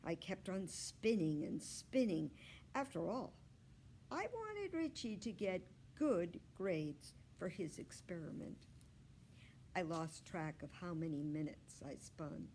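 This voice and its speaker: Slow-paced woman reading a storybook, low-pitched. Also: animated, darkish tone, a little hiss or hum. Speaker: children's librarian reading aloud. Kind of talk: expressive storybook read-aloud narration.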